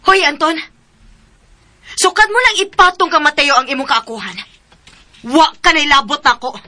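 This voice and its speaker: A woman's voice: sits high, normal speed, sharp tone, a little hiss or hum. An actress playing a hurt but firm young woman spurning her lover in a radio drama, reproachful and indignant. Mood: annoyed.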